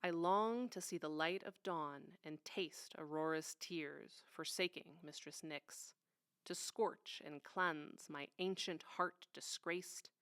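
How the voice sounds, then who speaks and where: A woman reads solemn poetry with deliberate drama. Her voice is fairly low and smooth, and she takes her time. The sound is close and dry. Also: a bit bright, no room sound. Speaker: poetry reader.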